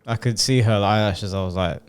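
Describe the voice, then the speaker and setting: A man's voice: lowish voice, a little fast, clear, resonant tone. A man giving casual, opinionated reaction commentary in a blunt, informal, sweary way. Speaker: reaction video host.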